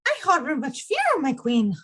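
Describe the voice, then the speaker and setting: A woman's voice: high voice, wildly swooping pitch, moderate pace, a bit bright, heavily acted. A woman acting as a sweet, fearful young queen in an audio play, speaking in a formal, deferential, anxious way. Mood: frightened. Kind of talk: theatrical audio drama acting.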